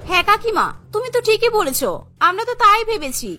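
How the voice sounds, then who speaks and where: Woman voicing a very high, confident young bride. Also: lively intonation, brisk, pushed and bright, exaggerated voice. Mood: cheerful, assured. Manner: assertive, explaining. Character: confident, cheerful young twin sisters, headstrong brides.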